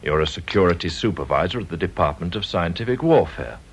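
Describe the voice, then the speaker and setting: Smooth English villain, low, swooping voice. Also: male voice, animated, full character voice. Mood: smugly menacing. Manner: mock-courteous, unhurried, insinuating. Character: smooth, menacing kidnapper posing as a polite policeman. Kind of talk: radio drama acting.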